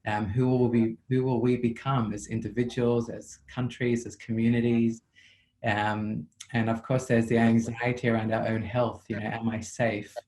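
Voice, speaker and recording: South African male therapist, level low delivery, measured and reflective, video call audio. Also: little pitch movement, darkish tone, poor audio. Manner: measured, reflective, with frequent ums. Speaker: psychotherapist. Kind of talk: thoughtful interview answers.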